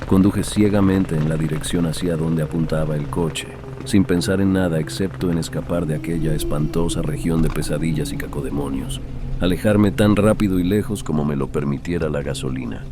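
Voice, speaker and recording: A man's voice: very deep voice, normal speed, noisy background. A man reading a horror tale as a dramatic audiobook narrator. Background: background music.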